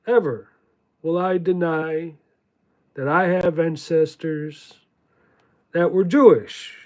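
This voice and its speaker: Guy doing a spiritual talk, really slow and halting, voice a bit on the high side, repeats stuff for emphasis. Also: steady tone, a little mellow. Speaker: spiritual teacher reading from own writings. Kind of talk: slow contemplative spiritual monologue.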